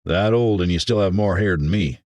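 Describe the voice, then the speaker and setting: Man voicing a jovial, booming young man. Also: fairly quick, a little mellow, no room sound, clean studio sound, close to an everyday voice. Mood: jovial, curious. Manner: folksy, jovial. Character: cheeky young balding man with booming deep voice.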